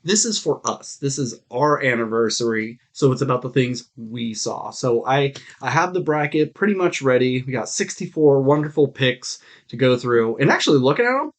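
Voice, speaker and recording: Male podcast co-host, mid-pitched, casual and jokey, tossing in mock quotes during film chat. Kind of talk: casual banter about films and TV.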